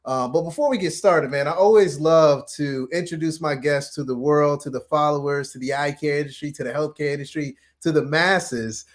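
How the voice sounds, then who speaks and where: Effusive male host, slightly high voice. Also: moderate pace, few pauses. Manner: effusive, informal, addresses guest as man. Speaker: podcast host interviewing a guest. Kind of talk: casual, upbeat interview chat.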